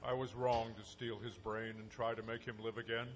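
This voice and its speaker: A man's voice: mid-range voice, level tone, fairly quick, very noisy, close to an everyday voice. A man playing a hesitant older scientist father in a live comic radio play. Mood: regretful. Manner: hesitant, stammering. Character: flustered elderly father, hesitant scientist doctor. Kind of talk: live comedic audio theatre parody. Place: stage, live performance.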